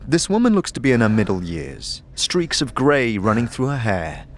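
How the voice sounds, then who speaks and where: A man narrating a fantasy audio drama in the second person, theatrical and deliberate, in short measured clauses. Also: mid-range voice, sing-song. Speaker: narrator and lead player of an audio series.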